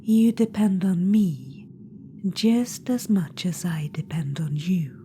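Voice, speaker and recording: A woman's voice: on the low side, animated, takes it slowly, mellow. A woman voicing an imperious undead witch-queen spirit in an ASMR audio roleplay. She speaks in a whisper, teasing, condescending and commanding. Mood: coaxing whisper. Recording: clear background noise.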